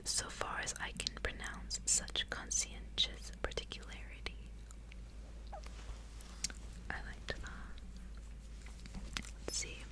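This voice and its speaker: A woman reading in a soft, breathy ASMR whisper, with slow, careful, hushed delivery, close to the microphone. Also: rough recording. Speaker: ASMR host reading a book aloud.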